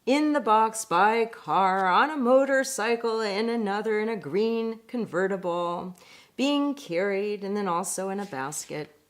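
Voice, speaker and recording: A woman reading a picture book aloud in an expressive, deliberate way, with chatty asides. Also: mid-range voice. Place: indoors at home.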